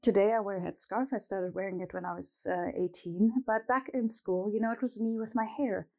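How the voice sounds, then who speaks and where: A young woman from Germany whose mother tongue is Arabic, a researcher speaking thoughtfully and softly about her own life, with pauses and the odd small laugh. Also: medium pitch, gravelly. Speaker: researcher telling her story. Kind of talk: reflective personal testimony to camera.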